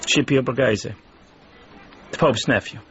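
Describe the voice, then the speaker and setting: An actor playing a guarded, worldly man in a naturalistic radio drama, speaking in a measured, matter-of-fact, knowing way. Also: medium pitch, a bit bright, lo-fi sound, slight background noise, lightly acted. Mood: knowing, resigned.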